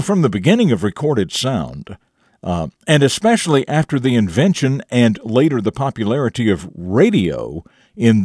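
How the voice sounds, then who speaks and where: A man hosting morning radio and narrating stories in an expressive, folksy, emphatic manner. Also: mid-range voice, dry recording, studio quality. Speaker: radio show host and storyteller. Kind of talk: morning radio talk and dramatic story narration.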